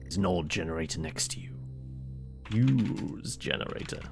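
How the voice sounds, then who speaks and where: Creaky-voiced man reading a text game aloud. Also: low-pitched, expressive, over music. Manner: halting, muttering typed commands, dramatic when reading aloud. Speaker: streamer playing a text adventure game. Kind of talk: let's play, reading game text aloud with casual asides.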